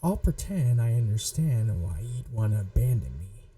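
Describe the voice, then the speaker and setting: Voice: mid-range voice, very animated, normal speed, warm tone, distant mic, soft background music, lightly acted. A performer voicing a possessive, manipulative young yandere in an ASMR roleplay, taunting and coldly controlled. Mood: calculating, menacing. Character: possessive, manipulative yandere childhood friend, menacing young blackmailer. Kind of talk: scripted ASMR roleplay audio drama.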